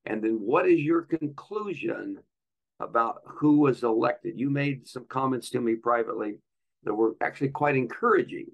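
A man's voice: medium pitch, moderate pace, vocal fry, muffled recording. A man hosting a podcast as a pastor, introducing and questioning a guest in conversation. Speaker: podcast host. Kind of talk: conversational interviewing.

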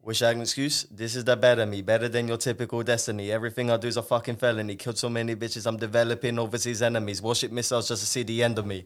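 A man's voice: medium pitch, little pitch movement, very fast, hardly any pauses. A man with a British accent bantering casually on a podcast, self-mocking and eager to perform, sometimes rapping. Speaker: podcast host.

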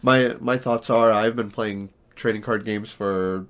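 A man co-hosting a casual podcast, his voice hoarse and raspy from allergies, chatting in a rambling, self-deprecating way. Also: steady tone, unhurried. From Southern California. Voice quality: naturally deep voice, raspy today. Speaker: podcast co-host.

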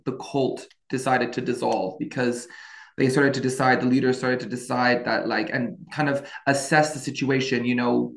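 A man's voice: mid-range voice, creaky. A man in his twenties from France, a podcast guest telling his life story in a casual, hesitant way with many fillers and restarts. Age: 20s.

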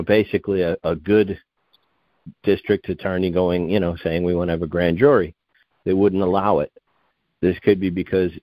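Deep-voiced man in his fifties, rambling. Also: no room sound, muffled recording. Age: 50s. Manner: meandering, self-correcting, digressive. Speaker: guest researcher. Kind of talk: rambling conversational interview.

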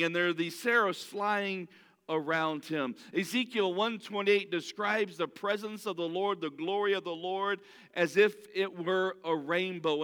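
A man preaches with earnest conviction, often stumbling and restarting his sentences, and English is not his first language. His voice sits high and rings smooth and resonant. A long reverb hangs behind him, as in a church hall. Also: fairly bright tone. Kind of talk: sermon.

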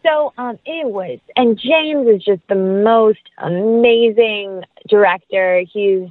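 A woman's voice: medium pitch, takes it slowly, no echo, phone-quality sound. An American woman speaking effusively and casually as a guest in an interview. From North Carolina, United States. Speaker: guest actress.

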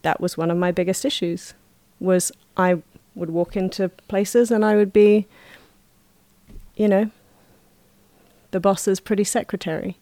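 A woman's voice: mid-range voice, little pitch movement, measured pace, pauses often, mellow, no echo. Dry-sounding woman explaining at length. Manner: reflective, talks at length in long flowing explanations. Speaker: podcast guest. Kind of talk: casual chat.

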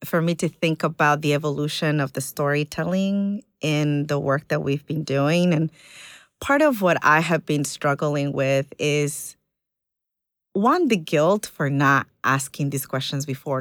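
A woman from Ecuador, a community organizer, speaking earnestly and thoughtfully in an interview, pausing with fillers. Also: low-pitched, no echo, studio quality. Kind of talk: reflective interview conversation.

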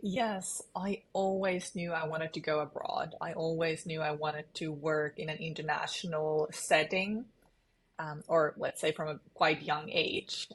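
A woman's voice: sits low, a little hiss or hum, low-quality recording. A woman with a Finnish accent, speaking conversationally as an interview guest. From eastern Finland. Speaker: interview guest, sales leader.

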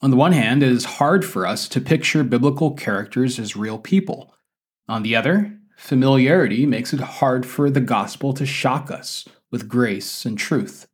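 A man speaks as a podcast host at a middle pitch. His phrasing is measured and articulate, like a teacher introducing a subject and guiding a conversation. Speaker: university teacher. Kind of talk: scripted podcast introduction, then conversational interviewing.

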